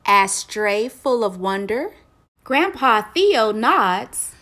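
A woman reads a children's story as narrator. Her voice is fairly high and lively in melody, and she takes it slowly and deliberately, with clear expression.

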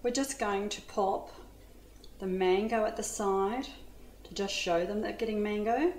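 A middle-aged Australian woman talks through a dessert recipe in an enthusiastic, chatty way, her pitch sitting in the middle of the range. She is recorded in a roomy, echoey kitchen with a faint hiss behind her. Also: unhurried, darkish tone. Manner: chatty, enthusiastic, addresses viewers as ladies. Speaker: cooking demonstrator. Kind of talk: cooking demonstration. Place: home kitchen studio. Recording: echoey kitchen with light noise.

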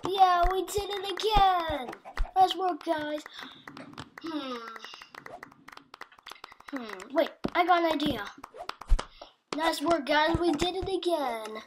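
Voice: very high-pitched, lively intonation, slow pace, pauses often, noisy background. A child improvising an amateur voice-over for a cartoon. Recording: music behind the voice.

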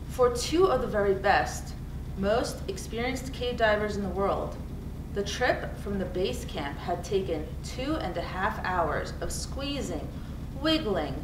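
A woman in her thirties reading a narrative aloud from a book. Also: medium pitch. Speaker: reader in a school community read-aloud. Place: indoors, seated before a cave backdrop. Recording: roomy, distant mic with clear background noise.

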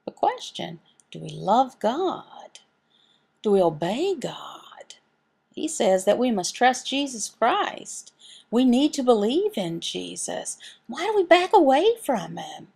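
A woman reading a sermon and scripture aloud as a voice interpreter, in measured, halting short phrases. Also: fairly high pitch, creaky, darkish tone, slightly rough.

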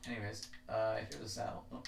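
A woman's voice: a bass voice, fast talker, vocal fry. A woman chatting casually as co-host of a video game session, recorded on a noisy mic in an echoey room. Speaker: gaming video co-host running the minigame. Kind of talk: casual gameplay chat.

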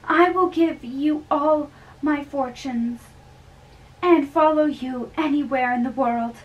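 Young amateur actress, high voice, slow theatrical delivery of classic play lines. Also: female voice, dull audio. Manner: theatrical, halting as she reads. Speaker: amateur performer. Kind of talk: dramatic play reading. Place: small bedroom, indoors. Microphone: camera a few metres away. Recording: distant mic with background hiss.

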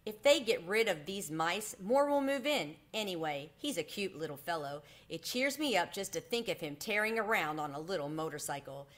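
A woman's voice: lowish voice, animated, normal speed, few pauses, a bit bright. A woman voicing a good-natured old male hotel worker in a storybook, with wry teasing.

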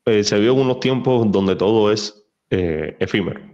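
Low-voiced man hosting an interview. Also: mellow, lo-fi sound. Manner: quick, reacting with short "wow" interjections. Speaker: podcast host. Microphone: headset on a video call.

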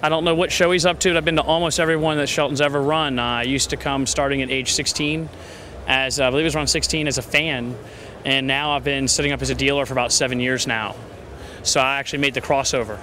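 Fast-talking comic dealer man. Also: medium pitch, fairly even intonation, pushed and bright, a little hiss or hum. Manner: enthusiastic, rambling. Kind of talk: casual interview. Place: convention hall, indoors.

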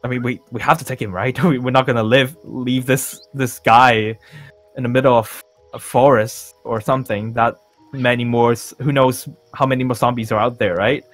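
A man's voice: mid-range voice, steady tone, moderate pace, dry recording, soft background music, lightly acted. A man playing a nervous, forgetful celebrity in a Minecraft zombie roleplay, hesitant and stammering as he repeats his words. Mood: flustered. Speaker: roleplay player. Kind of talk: improvised roleplay acting in a Minecraft series.

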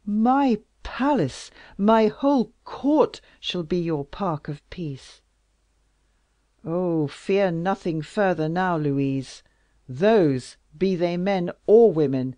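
A reader playing a passionate, powerful king who declaims loudly in a slow audiobook reading, recorded dry and close. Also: mid-range voice, lively intonation, no room sound. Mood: impassioned fury. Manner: loud, impassioned, declamatory. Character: passionate powerful male king, lovesick and wrathful.

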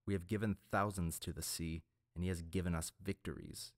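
A man's voice: deep voice, steady tone, fairly quick, no echo, studio quality, close to an everyday voice. A man voicing a cold, scornful male pirate captain who lectures a prisoner in an audiobook.

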